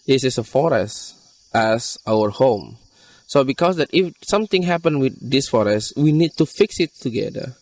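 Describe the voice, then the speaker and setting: A man from Borneo with an Indonesian accent, giving earnest, halting interview answers in non-native English. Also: mid-range voice, animated, recorded from a distance. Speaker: conservation group leader being interviewed.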